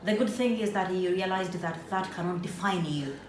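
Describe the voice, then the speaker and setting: A woman hosts a casual interview, her voice sitting low and warmly encouraging. She sits away from the mic, so the room's echo and a steady background noise come through. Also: normal speed. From Uganda. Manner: encouraging, lots of affirming hums. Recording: echoey room, far from mic, background noise.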